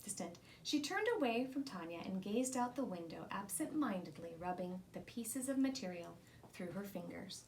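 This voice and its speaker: A woman reading a children's storybook aloud in an expressive narrating style. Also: mid-range voice, moderate pace, a little hiss or hum, poor audio. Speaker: storybook reader.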